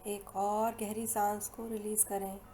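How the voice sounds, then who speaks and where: An Indian woman leading a guided hypnosis meditation in slow, soothing, unhurried phrases with long pauses between them. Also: mid-range voice, little pitch movement, slight background noise. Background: soft meditation music.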